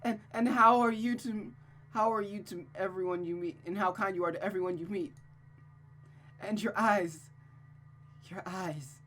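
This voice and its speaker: A woman's voice: moderate pace, long pauses, fairly bright tone, a little hiss or hum, natural voice. A woman voicing an unhinged, lovesick young noblewoman in a visual novel read-aloud, with a frantic, volatile manner. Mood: lovesick, adoring. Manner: shrill, pleading, prone to shrieking.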